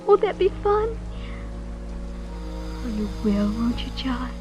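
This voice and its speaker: A woman's voice: fairly high pitch, sing-song, slow pace, over music. An actress in an old-time radio drama playing a naive, spoiled young heiress, flighty and chillingly blithe, with a girlish, pouty, airy manner. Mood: pleading. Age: young adult. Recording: noisy vintage radio broadcast recording.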